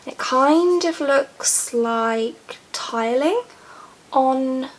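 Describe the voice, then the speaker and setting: A woman's voice: high voice, extremely slow. A young woman chatting enthusiastically through a book box unboxing in a small room, reading blurbs aloud. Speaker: book vlogger. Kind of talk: casual unboxing chat. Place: small room in front of bookshelves.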